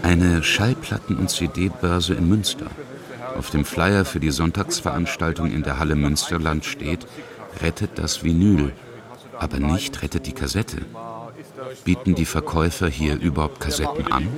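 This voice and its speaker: A man's voice: rumbling low, moderate pace, a crowd in the background. A middle-aged man from Bavaria reading scripted narration for a radio documentary. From Bavaria, Germany. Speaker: radio feature author and reporter.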